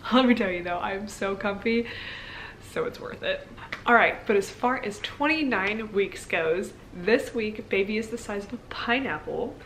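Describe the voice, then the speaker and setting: Young female vlogger, average pitch, candid and conversational, loose rambling delivery, slight background noise. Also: a bit bright, rough recording. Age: young adult. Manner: informal, rambling, candid, frequent "like". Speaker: pregnancy vlogger. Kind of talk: casual chat to viewers.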